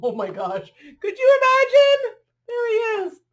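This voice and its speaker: A woman's voice: very high voice, huge pitch swings, a little slow. A woman reading a children's picture book aloud expressively, adding chatty asides and drawing listeners in with questions and exclamations.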